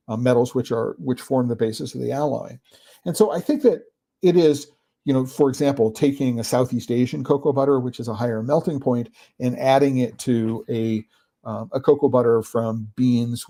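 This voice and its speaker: A man hosting a podcast, giving an informal explanatory talk in a halting way, searching for words and often checking in with the listener. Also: medium pitch, mellow. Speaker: podcast host.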